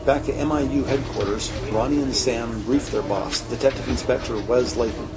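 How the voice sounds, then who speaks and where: A man's voice: medium pitch, moderate pace, loud music behind the voice. A man narrating an audio drama in a scripted, descriptive storytelling style.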